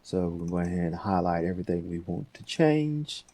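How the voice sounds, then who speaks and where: Low, gravelly man giving a casual tutorial. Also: expressive, a little fast, warm tone. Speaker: audio editing tutorial host. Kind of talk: casual screen-demo tutorial, thinking aloud.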